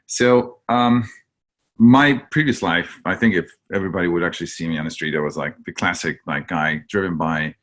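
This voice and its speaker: Give me a low-pitched man, telling his story candidly as a webinar guest. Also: moderate pace, a bit bright. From Argentina. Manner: candid, rambling with many fillers and "like".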